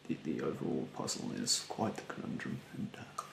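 A man in his twenties doing an ASMR roleplay as a rude beautician, speaking softly and breathily, often near a whisper, in a haughty, condescending way. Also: extremely low pitch, sing-song, brisk, creaky, roomy sound, slight background noise. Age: 20s. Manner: haughty, condescending, politely insulting. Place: small bedroom, indoors.

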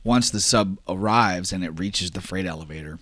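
A man's voice: low voice, normal speed, a bit bright, no echo. A man narrating as game master of a tabletop role-play, describing scenes casually.

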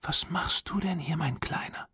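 A man voicing a kind, excitable young horse-loving girl in a German children's audiobook, with a standard German accent. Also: fairly high pitch, normal speed, breathy edge, no echo, studio quality, pitched above the performer's own voice, heavily acted. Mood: tender, soft. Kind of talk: children's audiobook character reading.

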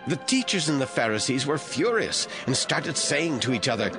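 A man narrating a scripture audio drama from a script in a deliberate storytelling manner. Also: mid-range voice, sing-song. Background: faint music.

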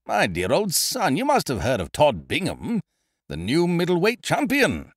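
A man voicing a loud, hearty, scheming young gentleman adventurer who blusters expansively. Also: a little high, wildly swooping pitch, unhurried, no echo, clean studio sound, voice pushed up, full character voice.

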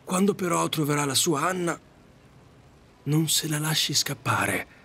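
A man's voice: on the high side, expressive, unhurried, creaky, sharp tone, breathy edge, heavily acted. A man acting an earnest, polite and kindly taxi driver in an audio drama. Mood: earnest, pleading.

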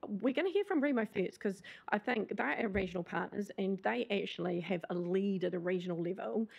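A woman's voice: mid-range voice, expressive, brisk, gravelly, slightly rough, muffled recording. A woman on council staff introducing the next speakers at a council meeting, hesitant with many fillers. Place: council chamber, large meeting room.

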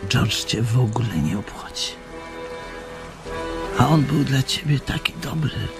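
Ghostly old auntie nagging, slow and creaky, radio play. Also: male voice, expressive, over music. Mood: reproachful. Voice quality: low, creaky. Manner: reproachful, nagging. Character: scolding old aunt, an imagined apparition. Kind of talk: radio drama acting.

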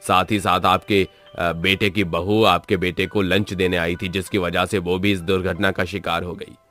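A man's voice: deep voice, little pitch movement, moderate pace, fairly bright tone, soft background music. A man voicing a formal, solemn caller who breaks bad news in a cartoon story. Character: formal male caller delivering tragic news.

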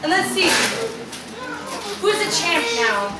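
A woman's voice: very high voice, sing-song, takes it slowly. A woman playing general manager in a live wrestling promo, taunting and sarcastic. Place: small indoor wrestling venue with audience. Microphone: far camera. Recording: very echoey room, far camera, loud noise.

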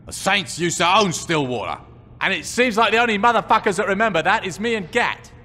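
An actor playing a tough, dry-humoured gang boss in a video game cutscene, speaking bluntly with plenty of swearing. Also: male voice, sits high, expressive, fairly quick, pushed and bright, in a large hall, exaggerated voice. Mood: commanding.

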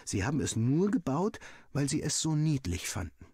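A man reading a first-person story as a narrator, acting out the dialogue in an animated way. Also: a little high, normal speed, breathy edge, dry recording, studio quality. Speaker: audiobook reader.

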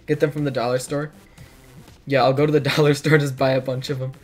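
A teenage boy, hoarse from hours of streaming, chatting casually and rambling while reacting to his chat in a dim bedroom. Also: mid-range voice, little pitch movement, fast.

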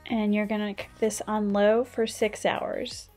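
A woman's voice: mid-range voice, level tone, measured pace, darkish tone, soft background music. A woman in her thirties, a stay-at-home mom, giving a casual, practical voice-over as she cooks and shares kitchen tips. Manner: chatty, practical, explains tips as she goes.

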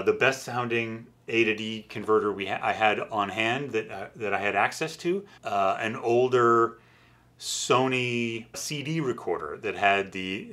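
A middle-aged man giving a casual technical explanation in a measured, thoughtful way. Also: fairly low pitch, a bit bright. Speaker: company founder presenting his audio gear. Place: small wood-furnished listening room indoors.

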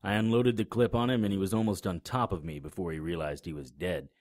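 An actor in an audio drama playing a cynical, sardonic, hard-boiled private investigator with a terse delivery. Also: male voice, low-pitched, steady tone, quick pace, darkish tone, no room sound, lightly acted. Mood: grim narration. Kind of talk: audio drama acting with noir narration.

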